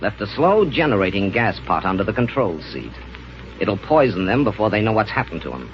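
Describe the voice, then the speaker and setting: A ruthless space conqueror from a 1930s radio serial. He is smug, scheming and menacing, speaking in a commanding way. The sound is muffled and clearly noisy, like an old broadcast. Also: fairly low pitch, very animated, fairly quick.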